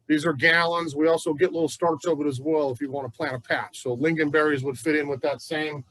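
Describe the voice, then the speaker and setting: Chatty, folksy male nursery instructor, slightly high voice, dry close sound. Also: a bit bright, dry recording, poor audio. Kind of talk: casual gardening class talk. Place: plant nursery.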